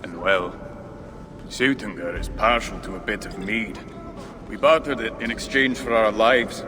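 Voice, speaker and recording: A man's voice: on the low side, measured pace, close to an everyday voice. A man playing a sour, shifty dwarf rogue in a video game, with a sardonic, grumbling delivery. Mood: grumbling, sly. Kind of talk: video game cinematic acting. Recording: music under the voice. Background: cinematic game music.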